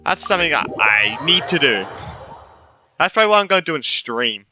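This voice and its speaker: A man's voice: on the high side, big pitch swings, brisk, sharp tone, faint music behind. A man giving casual let's-play commentary, thinking aloud, repeating himself and reading game dialogue out. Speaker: gaming streamer.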